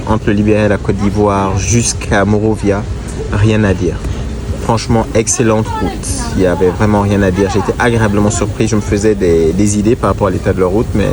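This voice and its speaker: Casting: man with a head cold, lowish voice, speaks slowly with pauses, gives practical advice straight to the viewer. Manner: measured, halting, gives advice directly to viewers. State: has a cold, congested voice. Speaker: motorcycle traveler. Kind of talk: casual reflective talk to camera.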